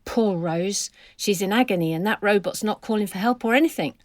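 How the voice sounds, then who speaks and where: A woman's voice: medium pitch, a little fast, no room sound, close to an everyday voice. Grumpy, indignant elderly woman, played by an actress. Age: older. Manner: complaining, blunt. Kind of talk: scripted audio drama.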